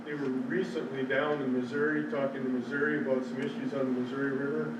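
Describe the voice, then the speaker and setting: A man's voice: medium pitch, flat delivery, fast talker, highs cut off. A man with an Upper Midwestern American accent giving a plain presentation at a public hearing, with some fillers. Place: large public meeting room. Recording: roomy hall, far from mic, loud noise.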